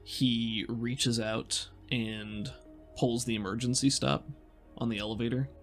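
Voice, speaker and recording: Creaky-voiced man narrating a tabletop game. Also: mid-range voice, fairly even intonation, measured pace, faint music behind. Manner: descriptive, conversational, thinking aloud. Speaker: game master.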